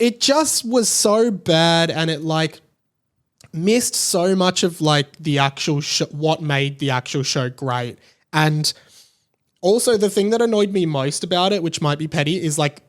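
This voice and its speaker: A young man hosting a podcast solo, giving casual, opinionated commentary in a rambling, conversational way with emphatic points. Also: on the high side. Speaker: podcast host.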